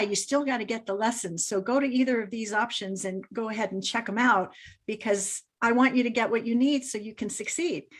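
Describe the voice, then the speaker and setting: A middle-aged woman hosting a podcast, telling stories with enthusiasm and acting out quotes. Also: fairly high pitch. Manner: enthusiastic storyteller, quotes others in lively voices. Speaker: podcast host. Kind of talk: casual interview chat.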